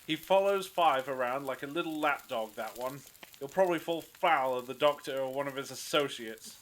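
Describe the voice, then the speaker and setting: A man's voice: medium pitch, moderate pace, a bit bright, a little hiss or hum, natural voice, low-quality recording. A man playing a bossy, suspicious, practical captive in a fan audio drama, with a sarcastic, domineering manner. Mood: suspicious, disdainful.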